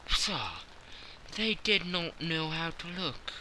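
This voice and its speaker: A man reading a brisk, confident detective in an audiobook, curt and commanding. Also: a little high, animated, bright, forceful tone, a little hiss or hum, pitched above the performer's own voice, full character voice, rough recording.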